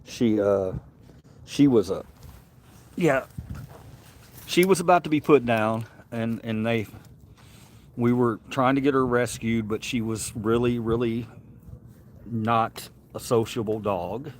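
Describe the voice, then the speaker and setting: A man's voice: mid-range voice, takes it slowly, pauses often, slight background noise. A middle-aged man from Mississippi with a Southern accent, chatting casually on a livestream, rambling and repeating words. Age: Gen X, middle-aged. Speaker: livestream host.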